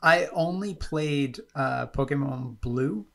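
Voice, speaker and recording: A man co-hosting a podcast over a video call, chatting in a relaxed way and reminiscing. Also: mid-range voice, slow, gravelly, a loud crowd. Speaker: podcast co-host. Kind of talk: casual chat.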